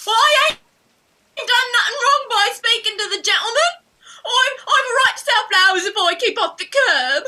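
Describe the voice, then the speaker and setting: A poor young flower girl for a stage play reading. Her voice is high and piercingly bright, swinging widely as she wheedles and wails. She talks in cheeky, wounded, ungrammatical street speech. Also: lively intonation, moderate pace, full character voice. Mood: wailing, protesting. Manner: whining, wailing, ungrammatical street talk.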